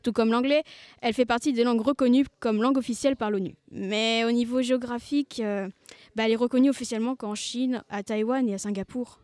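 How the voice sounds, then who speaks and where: A woman's voice: on the high side, fairly even intonation, quick pace, darkish tone, dry recording. Young woman reading a school report. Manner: reads carefully with hesitations and fillers. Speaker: student presenter.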